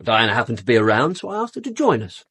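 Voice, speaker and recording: A louche upper-class British gentleman in a radio drama, glib and flippant. The mid-pitched voice is husky, with a sardonic, casual delivery, captured dry and close. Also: big pitch swings, fast talker, dry recording, exaggerated voice. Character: flippant, louche upper-class brother-in-law, a male suspect.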